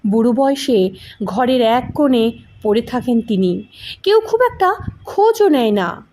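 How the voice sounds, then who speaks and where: A woman reading a scripted story aloud in an expressive, dramatic storytelling manner. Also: a little high, unhurried. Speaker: audio story narrator. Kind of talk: expressive scripted story narration with acted dialogue.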